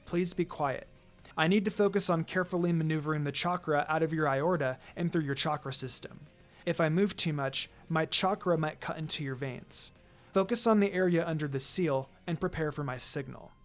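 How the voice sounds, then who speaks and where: A man voicing a gentle, focused teenage ninja girl in a storybook reading, composed, careful and polite. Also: a little high, moderate pace, close to an everyday voice. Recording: music behind the voice.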